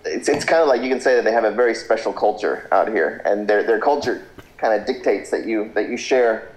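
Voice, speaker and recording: A man with a fairly low voice speaks over a video call, sounding hesitant and often restarting his sentences. His audio carries a noticeable room echo. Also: a little fast. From Philippines. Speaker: Skype guest. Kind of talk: casual interview answers.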